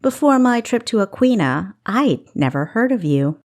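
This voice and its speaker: A woman's voice: mid-range voice, sing-song, normal speed, voice pushed up. Woman acting a sarcastic, swooping mischievous female thief. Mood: scoffing. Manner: scoffing, sarcastic, playful. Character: defiant, witty, mischievous young woman thief, proud and sarcastic. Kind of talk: audiobook character acting.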